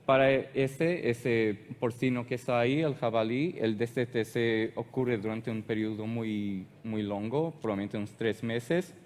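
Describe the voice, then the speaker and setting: Portuguese-accented male presenter, halting non-native delivery, echoing hall sound. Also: mid-range voice, darkish tone, a little hiss or hum. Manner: halting, searches for words and restarts often. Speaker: conference speaker. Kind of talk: technical conference presentation.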